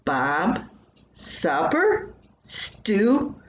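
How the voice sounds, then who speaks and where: An older woman voicing a patient but exasperated farm wife, wry and gently chiding, in a homespun story. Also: medium pitch, huge pitch swings, drawn-out words, exaggerated voice. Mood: coaxing. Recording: roomy echo with faint background noise.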